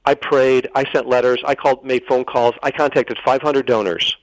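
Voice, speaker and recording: A man's voice: mid-range voice, fairly even intonation, normal speed, no room sound, low-quality recording. A middle-aged man telling a personal story as a radio interview guest, earnest and dramatic, acting out the dialogue and repeating phrases for emphasis.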